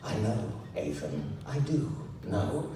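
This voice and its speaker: A man's voice: medium pitch, sing-song, takes it slowly. A man playing a formal, earnest and exasperated emperor, speaking in a stiff courtly manner for a story reading. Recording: echoey noisy room, recorded from far off.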